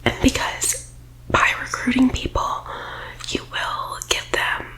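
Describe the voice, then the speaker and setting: A woman whispering breathily in a persuasive ASMR roleplay, like a team leader giving a sales pitch, close to the microphone. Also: slow, bright, forceful tone, poor audio.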